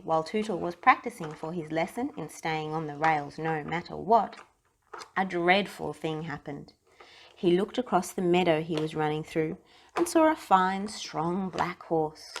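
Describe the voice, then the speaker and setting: Casting: female children's book narrator, lowish voice, expressive read-aloud with deliberate emphasis. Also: a little hiss or hum.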